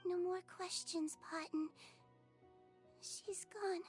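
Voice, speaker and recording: A woman voicing a small, gentle nurse character in a video game, sweet, earnest and polite in delivery. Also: very high-pitched, steady tone, slow, soft background music. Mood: solemn. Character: small gentle cheerful female nurse creature.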